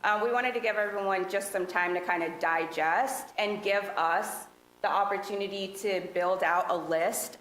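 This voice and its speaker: A woman presenting to a city council work session, speaking loudly in a relaxed, conversational way with many fillers. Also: medium pitch. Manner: loud voice. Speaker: task force presenter. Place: council meeting room. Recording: echoey meeting room with faint noise.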